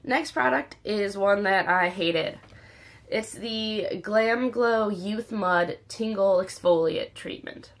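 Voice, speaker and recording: A young woman with a slightly raspy voice, still recovering from illness, chatting candidly about beauty products she has used up. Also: medium pitch, slow. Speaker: beauty vlogger. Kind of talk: casual product review.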